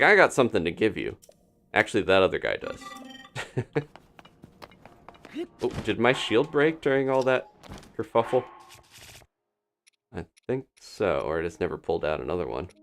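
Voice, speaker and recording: Lively low-voiced man streaming a game. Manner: chatty, wondering aloud, quick to laugh. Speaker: streamer playing a video game. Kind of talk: casual live-stream gameplay commentary.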